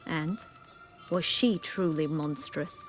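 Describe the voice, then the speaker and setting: An actress playing a proud, bitter exiled noblewoman in a video game, with haughty, formal, measured speech. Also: deep voice, soft background music. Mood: cold, probing.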